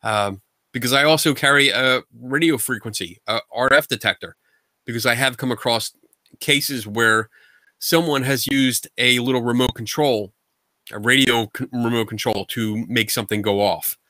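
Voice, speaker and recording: A man's voice: mid-range voice, normal speed, no room sound. A middle-aged man from the United States, a guest paranormal investigator talking bluntly and animatedly over a video call from his home. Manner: animated, gestures a lot, blunt and colloquial. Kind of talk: casual video call interview. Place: home room full of collectibles.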